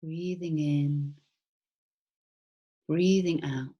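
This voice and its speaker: Female meditation guide, low and breathy, soft and soothing, very unhurried delivery with long pauses. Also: steady tone, lo-fi sound. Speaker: mindfulness teacher. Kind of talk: guided body scan meditation.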